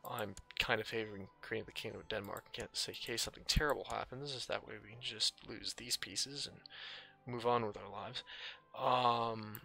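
Offhand man with a creaky, scratchy voice. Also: on the low side, fairly even intonation, normal speed. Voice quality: slightly scratchy. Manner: dry, offhand, musing aloud to himself. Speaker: gaming video host. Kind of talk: casual gameplay commentary. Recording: music under the voice.

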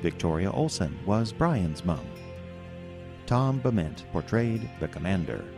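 A man reading scripted radio drama credits as announcer, measured and formal. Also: low-pitched, sing-song, over music. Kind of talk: scripted closing credits read.

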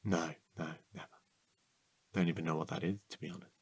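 A man acting a chatty, curious night-watch guard in a naturalistic audio drama, husky and halting in a playful way. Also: sits low, fairly even intonation, no room sound. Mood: musing, conspiratorial.

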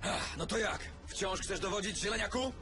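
A man playing a resolute superhero team leader in an animated dub, urgent, earnest and commanding. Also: high voice, normal speed, vocal fry. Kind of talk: dubbed animated superhero series acting. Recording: very loud action music behind.

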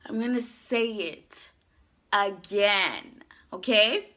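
A young woman chatting to camera in a casual vlog, her voice worn from a sore throat, animated and gesturing as she talks. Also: mid-range voice, drawn-out words. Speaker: YouTuber. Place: small indoor room, dark backdrop.

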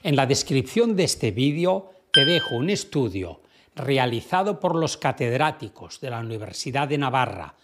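A man's voice: mid-range voice, big pitch swings, very noisy. A man in his fifties giving a scripted, emphatic explainer to camera through a studio microphone in a dim room. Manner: emphatic, measured delivery. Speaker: finance YouTuber. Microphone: studio mic on stand. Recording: background music under voice.

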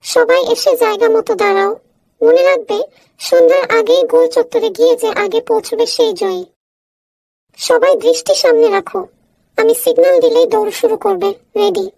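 A woman's voice: extremely high pitch, normal speed, gravelly, darkish tone, no echo, rough recording. A woman voicing a small animal referee who gives orderly instructions in a children's cartoon. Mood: instructing. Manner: instructing, announcing.

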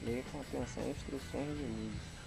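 A man's voice: medium pitch, steady tone, fairly quick, gravelly, over loud music, poor audio. A man in his thirties with a Brazilian accent, chatting informally and slangily to camera as he unboxes things outdoors, recorded from a little way off. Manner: informal, slangy, exclaiming appreciatively. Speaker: YouTube vlogger. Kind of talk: casual unboxing chat to camera. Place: outdoors in a rural garden. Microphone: camera a couple of meters away.

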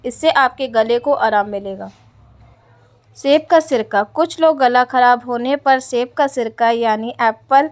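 A woman narrating home remedy tips over text slides in a plain, steady reading voice. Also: fairly high pitch.

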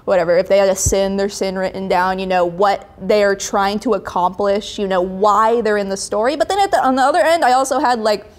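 A young adult woman in a casual interview, explaining her creative work in an informal, animated way, acting out little quotes as she goes. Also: medium pitch, fairly quick, few pauses. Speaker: webcomic creator being interviewed. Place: indoor studio set with brick wall.

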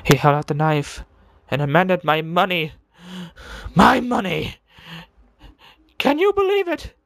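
A man voicing a deranged serial killer who confesses with morbid relish in a theatrical, brooding monologue full of dramatic pauses, in the style of a video game villain. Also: high voice, animated, vocal fry, a bit bright, dry recording, heavily acted. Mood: morbid, reflective, then manic, agitated. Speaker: amateur voice impressionist.

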